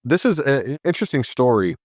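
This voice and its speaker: Man from Hawaii, podcast guest, casual storytelling, medium pitch, dry sound. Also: fast talker, no room sound. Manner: chatty, animated voice when quoting himself.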